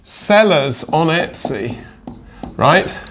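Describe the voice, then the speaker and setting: A man's voice: on the high side, lively intonation, drawn-out words, a bit bright, slight background noise. A man with a head cold and a slightly nasal voice, talking casually to viewers as an online selling consultant and often checking in with them. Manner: chatty, checks in with listeners with "right?" and "okay?". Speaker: Etsy consultant. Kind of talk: casual direct-to-camera explainer.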